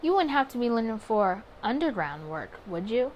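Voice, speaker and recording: A woman's voice: on the high side, big pitch swings, normal speed. A woman plays a sly, flirtatious man in a 1920s visual novel. The delivery is playful and teasing, like sharing a secret. Some noise sits in the background.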